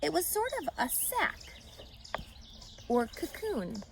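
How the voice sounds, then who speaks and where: A woman reading a children's story aloud in an expressive narrating style. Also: high-pitched, slow, vocal fry, a little hiss or hum. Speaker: audiobook reader.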